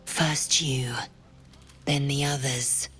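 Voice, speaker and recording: A harsh, distrustful alien warrior. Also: female voice, rumbling low, fairly even intonation, very slow, fairly bright tone, slightly rough, faint music behind, exaggerated voice. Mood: grim. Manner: harsh, clipped and threatening. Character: fierce, distrustful female warrior from an alien race. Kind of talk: video game voice acting.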